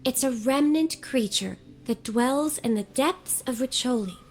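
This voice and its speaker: A woman voicing a gentle, earnest young female scholar in a video game, speaking in a measured, articulate, softly formal way. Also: high voice, a little hiss or hum. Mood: earnest, explanatory. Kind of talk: video game character dialogue.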